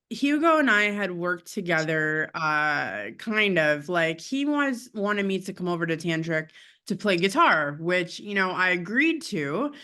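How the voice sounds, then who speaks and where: Chatty woman with a low, bright voice. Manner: chatty, laughs often, many fillers. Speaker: guest musician. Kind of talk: casual interview chat.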